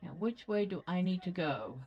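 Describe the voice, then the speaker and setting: A woman's voice: fairly low pitch, expressive, moderate pace, slightly rough, muffled recording, soft background music. A woman giving casual game commentary, chatty and playful as she thinks aloud. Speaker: gaming video host.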